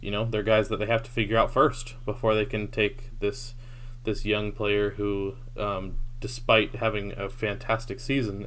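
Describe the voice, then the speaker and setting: Man, podcast co-host, lowish voice, steady stat talk, faint hum behind. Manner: steady, stat-heavy, with frequent ums. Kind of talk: casual sports talk.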